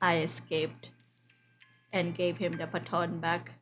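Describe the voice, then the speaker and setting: A woman's voice: lowish voice, flat delivery, slow pace. A woman streamer reading a visual novel aloud in a playful, dramatic way, breaking off for casual asides. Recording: music behind the voice. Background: game background music.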